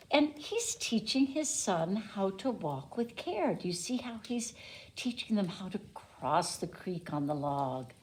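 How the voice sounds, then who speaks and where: A woman teacher reading a picture book aloud to young children, deliberately, adding simple explanations as she goes. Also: fairly low pitch.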